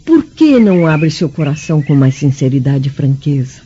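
Very mellow, tender Roman mother. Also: low-pitched, sing-song, moderate pace. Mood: tender concern. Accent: Brazilian. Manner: gentle, pleading, formal. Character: tender worried Roman mother of a grown son. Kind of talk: melodramatic radio drama acting. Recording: muffled lo-fi radio drama, background noise.

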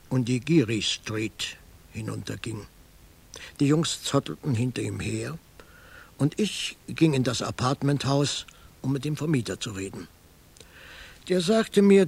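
Gravelly hardboiled detective. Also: male voice, medium pitch, exaggerated voice. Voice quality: gravelly, husky. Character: hardboiled male private detective narrating his case. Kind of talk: radio crime drama narration.

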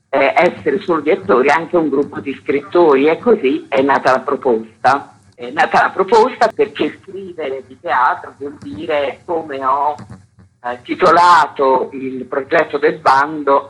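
A woman with a slightly husky voice, an actress and director explaining her work in a radio interview. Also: low voice, poor audio. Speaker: actress, theatre director and workshop leader, interview guest.

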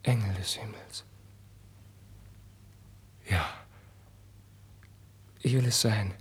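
An actor playing a sensitive, impassioned young prince in a husky, breathy voice, with theatrical 1950s radio drama delivery. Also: male voice, lowish voice, fairly even intonation, drawn-out words, exaggerated voice. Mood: fervent, anxious. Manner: theatrical, swinging between hushed and fervent. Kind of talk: classical German radio drama acting, 1950s.

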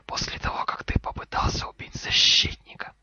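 A man voicing an earnest, composed boy wizard in a storybook, in a deliberate, hissing whisper. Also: dry recording, muffled recording. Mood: curt, distrustful. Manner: calm, clipped, deliberate.

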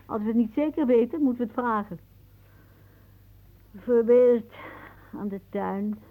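An actress playing a whimsical, dreamy elderly aunt in a radio play, musing theatrically and drifting into hushed asides. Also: female voice, a little high, a little slow, narrow, tinny audio. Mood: playful, musing.

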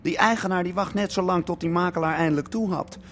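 Higher-voiced, outspoken young male squatter. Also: fast, fairly bright tone, slight background noise. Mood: indignant. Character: outspoken, principled young squatter arguing his case. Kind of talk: Dutch radio drama acting.

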